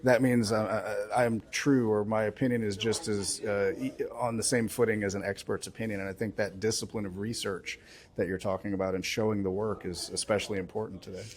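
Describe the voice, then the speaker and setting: A man's voice: lowish voice, level tone, brisk. A man conducting a conversational interview, phrasing his thoughts in a hesitant, considered way.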